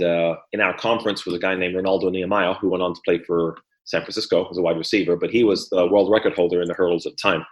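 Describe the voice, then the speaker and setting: Deep-voiced man in an echoey room. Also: a bit bright. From Guyana, raised in England. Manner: easygoing, repeats words when starting sentences. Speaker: former Olympic hurdler and trainer, guest. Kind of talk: conversational interview.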